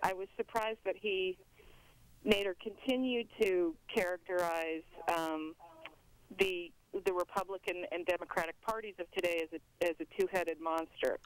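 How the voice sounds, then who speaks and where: An American woman phoning in to give an earnest, articulate political opinion, measured and searching for words. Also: on the low side, steady tone. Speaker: phone-in caller. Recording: dry telephone line.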